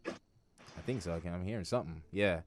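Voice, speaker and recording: A man's voice: low voice, fairly quick. A man with a Jamaican accent hosting a radio talk show from a studio, joking and chatty, full of slang. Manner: lively, joking, animated patter with slang.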